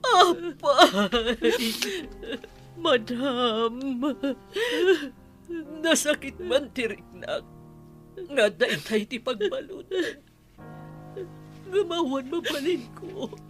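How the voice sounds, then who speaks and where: A grieving mother in a radio drama, played by a woman, pleading in a high voice. She speaks very slowly and tearfully, halting between phrases, with music behind her. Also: expressive, a bit bright, exaggerated voice. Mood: tearful anguish. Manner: slow, tearful, halting delivery. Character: grieving, pleading mother and household servant, Nana Glory. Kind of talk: emotional Ilocano radio drama acting.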